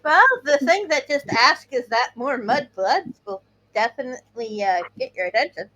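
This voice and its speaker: A woman with a high, animated voice improvises at a tabletop game. She moves between narrating her character and speaking as them. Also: normal speed, muffled recording. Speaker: player in a tabletop roleplay game. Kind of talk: improvised roleplay narration and dialogue.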